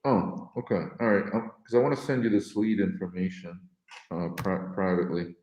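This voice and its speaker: A man with a lowish voice hosts a casual livestream call, pitching his lead service in an upbeat, loose salesman way and swearing freely. His voice rings out in an echoey room. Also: moderate pace, a little mellow. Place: in Ukraine.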